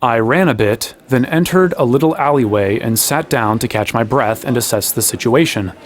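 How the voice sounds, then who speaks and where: A man's voice: medium pitch, moderate pace, natural voice. A young actor playing an earnest fantasy hero, telling his adventure in conversational first person in an audio drama. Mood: matter-of-fact storytelling. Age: young adult.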